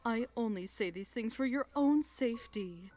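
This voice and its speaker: Woman voicing a regal, gentle pony princess over music. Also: on the high side, animated, lightly acted. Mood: grave, caring. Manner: measured, formal, motherly. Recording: music behind the voice.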